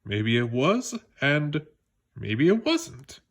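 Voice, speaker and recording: A man's voice: fairly high pitch, wildly swooping pitch, very slow, pitched above the performer's own voice, exaggerated voice. A man voicing a playful frog from a storybook who spins a spooky tale in a teasing, suspenseful manner.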